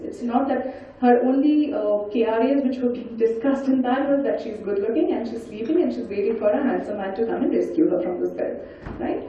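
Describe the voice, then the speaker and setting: A woman giving an interactive storytelling talk, animated and coaxing as she puts questions and instructions to her listeners. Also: a little high. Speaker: storyteller and speaker leading an audience activity. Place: large indoor event hall. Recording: very echoey hall, distant mic, dull sound.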